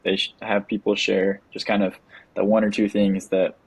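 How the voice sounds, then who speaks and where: Podcast host, man, deep flat husky voice, earnest and eager. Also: moderate pace, dry recording, poor audio. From United States. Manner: earnest, enthusiastic, often restarts words. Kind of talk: casual interview chat.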